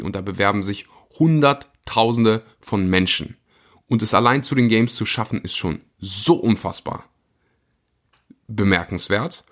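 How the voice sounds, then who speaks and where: Enthusiastic male podcast host. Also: medium pitch. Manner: energetic, enthusiastic, speeds up and slows for emphasis. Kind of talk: casual podcast intro.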